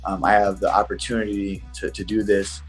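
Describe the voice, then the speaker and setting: Low, even-toned male fighter. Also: brisk, slightly rough, faint music behind, rough recording. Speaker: professional fighter. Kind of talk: casual interview answer.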